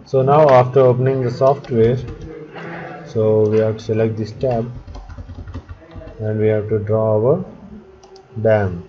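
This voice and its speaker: A man narrating a step-by-step software tutorial as an instructor, speaking in short, halting instructional fragments. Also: lowish voice, steady tone. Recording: dull lo-fi audio, background chatter, clicking.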